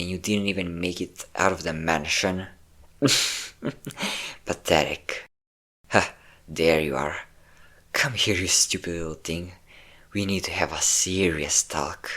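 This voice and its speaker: A man's voice: deep voice, a bit bright, heavily acted. A man playing a possessive, obsessive vampire lover in an intimate, dramatic roleplay audio drama. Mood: menacing, mocking.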